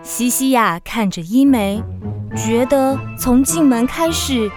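An actress playing a gentle storybook narrator, reading scripted narration for a children's audio drama. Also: female voice, a little high, lively intonation, slow, music in the background. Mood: storytelling.

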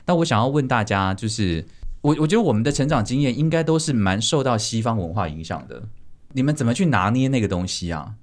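A man's voice: mid-range voice, lively intonation, fast talker. A man hosting a podcast in a conversational style, sometimes mixing in English words. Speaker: podcast host.

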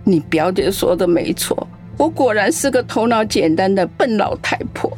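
A woman doing a gentle, trusting old grandma. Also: medium pitch, animated, faint music behind, natural voice. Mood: tearful. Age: older. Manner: slow, gentle, grandmotherly; tearful when upset. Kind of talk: radio play acting.

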